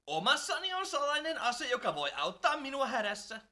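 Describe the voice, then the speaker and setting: Woman as a high, bright, playful cartoon youngster. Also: big pitch swings, exaggerated voice. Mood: cocky. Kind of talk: cartoon fandub acting.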